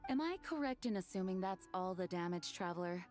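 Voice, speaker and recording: A woman playing a composed, stern but caring knight leader in a video game, speaking in a measured, formal way. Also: on the low side, expressive, a little mellow, faint music behind, lightly acted. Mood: stern, questioning.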